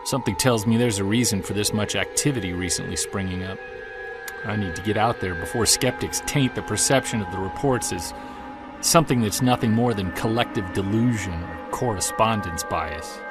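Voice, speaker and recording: An actor playing an earnest, driven middle-aged investigator in an audio drama, speaking intently. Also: male voice, fairly low pitch, moderate pace, over music, natural voice. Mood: reflective, intent. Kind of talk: audio drama narration and dialogue.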